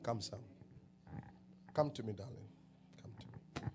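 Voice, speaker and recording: Slow-preaching Ghanaian man. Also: mid-range voice, music in the background. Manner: slow drawn-out declarations that swell into loud shouts. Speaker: pastor, self-styled prophet. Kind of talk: prophetic preaching and praying over people. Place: church service.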